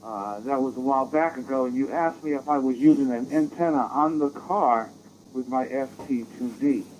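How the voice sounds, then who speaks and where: An American man chatting casually as an amateur radio operator, rambling and halting, heard through a digital radio transmission. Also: medium pitch, a little mellow. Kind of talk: casual radio contact chat. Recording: dull, noisy digital radio audio.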